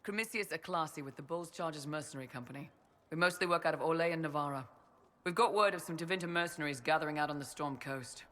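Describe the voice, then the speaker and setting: An actor playing a confident, loyal young mercenary soldier in a video game, blunt and businesslike. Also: male voice, fairly high pitch, fast talker, bright, forceful tone. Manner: blunt, plain-spoken, businesslike. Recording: faint background ambient noise.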